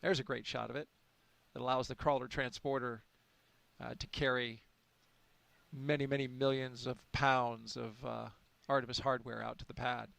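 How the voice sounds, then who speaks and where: A man's voice: medium pitch, little pitch movement, moderate pace, creaky, a bit bright, no echo. A man giving live launch commentary in a chatty, enthusiastic way, with frequent fillers.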